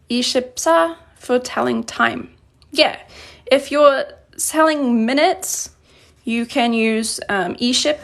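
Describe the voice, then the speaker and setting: A woman's voice: a little high, gravelly. A woman teaching Korean grammar in a live online lesson, patiently explaining examples and answering viewer questions. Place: indoors at a desk. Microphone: phone camera above a desk.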